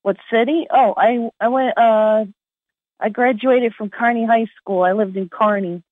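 A woman's voice: on the high side, fairly even intonation, a little slow, dry recording. A middle-aged woman with a slight New Jersey accent, chatting casually and hesitantly as a caller on a phone line. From New Jersey, USA. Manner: hesitant, tentative. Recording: dull, lo-fi phone-call sound.

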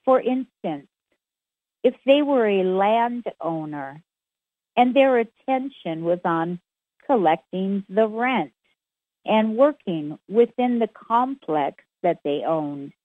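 A woman speaking a channeled message very slowly, in measured and formal phrases. Her voice comes over a tinny phone line with a dry, close sound. She keeps an even, deliberate delivery. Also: mid-range voice, dry recording.

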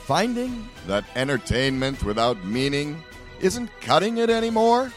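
A man's voice: fairly high pitch, sing-song, unhurried. A man announcing a show intro in a sweeping, showy way. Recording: music bed behind.

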